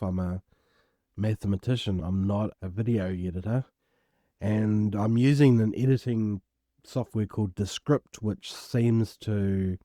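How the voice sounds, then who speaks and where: A man with a croaky voice, casually working through an algebra exam step by step as a tutor, recorded at home. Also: lowish voice, dark tone, dry recording, clean studio sound. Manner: casual, self-deprecating, explains step by step. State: croaky voice from illness. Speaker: maths tutor. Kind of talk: worked exam walkthrough.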